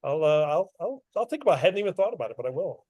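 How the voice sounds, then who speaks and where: A man's voice: on the high side, very animated, rapid-fire, mellow. A middle-aged man, an author speaking at a club meeting, chatting casually and poking fun at himself. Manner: chatty, self-deprecating, laughs often. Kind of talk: casual club talk with questions.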